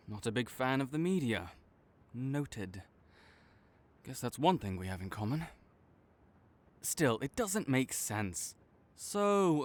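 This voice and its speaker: A man acting a cocky, teasing but secretly caring supervillain rival in a dramatic audio roleplay, bantering and exasperated. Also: mid-range voice. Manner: bantering, exasperated, faltering into awkward concern.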